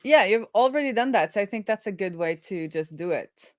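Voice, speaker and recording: A woman's voice: mid-range voice, animated, a little fast, dry recording, studio quality. A woman hosting a live coding stream in casual chat, informally thinking aloud as she explains. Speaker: live stream host, web developer.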